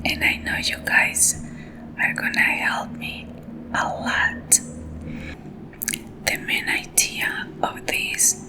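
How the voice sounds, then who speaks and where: Slow whispering Colombian woman. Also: low-quality recording. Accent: Colombian Spanish. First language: Spanish. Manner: soft, intimate whispering, careful non-native English. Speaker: ASMR creator. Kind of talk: ASMR whispered story reading.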